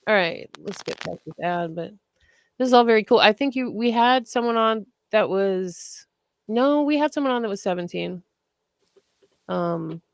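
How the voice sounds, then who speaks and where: A podcast host with a gravelly, fry-edged voice of middling pitch, recorded dry and close. The host sounds upbeat and encouraging, asking easy questions and cheering the guest on. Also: no echo. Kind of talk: casual podcast interview.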